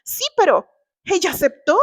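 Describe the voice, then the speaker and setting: A woman's voice: extremely high pitch, sing-song, normal speed, pitched above the performer's own voice. A woman voicing a brash, scheming young man in a dramatized novel reading, spiteful and accusing. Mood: defensive. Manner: accusing, stammering when cornered.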